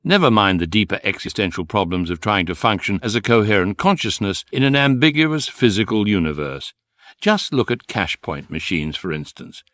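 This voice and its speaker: Ironic British guidebook narrator for sci-fi radio comedy; low-voiced, very dry close sound. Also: male voice, expressive, normal speed, a bit bright, dry recording. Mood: wry. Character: wry electronic guidebook, calm male narrator, drily amused. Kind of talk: scripted comic narration.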